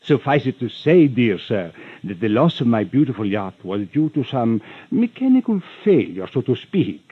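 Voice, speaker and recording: An actor playing a rich, unctuous villain in an old radio drama, florid and over-polite with hesitant stammers and veiled menace. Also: male voice, mid-range voice, sing-song, normal speed, husky, no room sound. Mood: smooth, persuasive. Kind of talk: 1940s-50s radio drama acting. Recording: muffled old radio recording.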